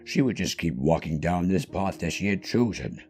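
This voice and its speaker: A man reading a scripted story as narrator, in an expressive, dramatic manner. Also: low voice, moderate pace, soft background music. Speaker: narrator of a fan fiction reading.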